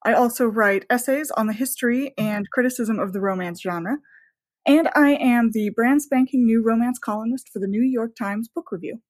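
A woman's voice: a little high, normal speed. A woman author speaking casually as a guest in a podcast interview.

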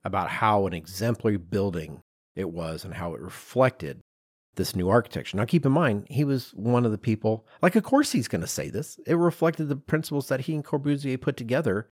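Man, podcast host, lowish pitch, very lively intonation, informal explainer, storytelling, dry sound. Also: a little fast, dry recording. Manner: informal, animated storytelling with acted-out asides. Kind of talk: conversational explainer of design history.

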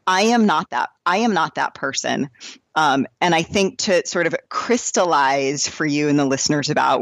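A woman's voice: low voice, no echo. A woman in her forties from New Hampshire with an American accent, speaking as a guest in a casual, enthusiastic and emphatic conversational style. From New Hampshire, United States. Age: 40s. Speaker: podcast guest, amateur runner.

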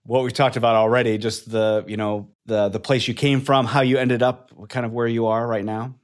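A man's voice: mid-range voice, level tone, moderate pace. Chatty male interview host. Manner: chatty, many fillers and restarts. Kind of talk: casual conversational interview.

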